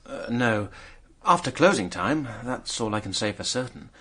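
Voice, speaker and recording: An actor playing a hard-up, self-mocking drinker under questioning in a British radio drama, wry and hesitant. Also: male voice, medium pitch, sing-song, normal speed, fairly bright tone.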